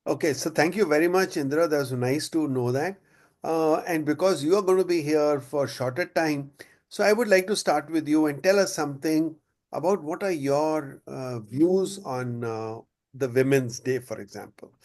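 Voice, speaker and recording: A man with a voice pitched a little higher than most moderates an online session over a video call. He keeps things short, greeting people and handing them questions. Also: normal speed, darkish tone. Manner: brief welcoming prompts.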